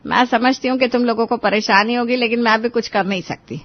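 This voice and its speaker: A woman's voice: fairly high pitch, a little fast, muffled recording, lightly acted. A woman voicing an anxious, strict mother-in-law in a moral-story drama, with an emphatic, admonishing manner. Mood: explaining, resigned.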